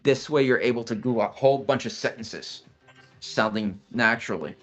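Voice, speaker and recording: A man's voice: mid-range voice, normal speed, fairly bright tone, soft background music. Blunt casual man. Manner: blunt, casual, restarts and counts out steps. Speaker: guest. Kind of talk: casual explaining chat.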